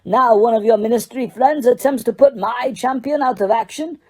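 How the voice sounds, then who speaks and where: A woman's voice: a little high, moderate pace, dark tone, voice pushed up, natural voice. A woman voicing a proud, suspicious male headmaster who speaks in an indignant, accusing way.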